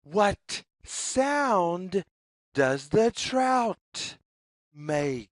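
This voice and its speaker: A woman reading a picture book aloud for young children, with deliberate, singsong phrasing and questions put to the listener. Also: mid-range voice, sing-song, no echo, studio quality. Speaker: children's read-along storyteller.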